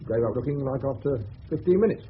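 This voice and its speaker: An actor playing a brash, sarcastic, slangy English suburban private detective in a 1970s radio drama. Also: male voice, mid-range voice, expressive, quick pace, close to an everyday voice. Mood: defensive. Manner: slangy, cocky, sardonic. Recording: tinny, hissy old radio broadcast.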